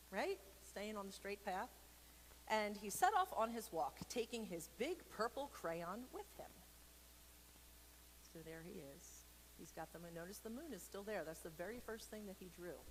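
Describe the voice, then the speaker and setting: A woman's voice: on the low side, rough recording. Playful woman storyteller with noise behind her. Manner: playful, extroverted, self-deprecating, rambling. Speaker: children's story reader for a church. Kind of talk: informal read-aloud storytime with chatty asides to children. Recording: noticeable background noise.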